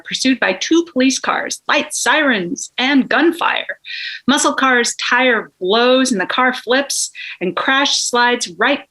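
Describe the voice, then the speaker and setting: A woman's voice: fairly high pitch, pushed and bright, poor audio. A woman reading stage directions as scripted narration.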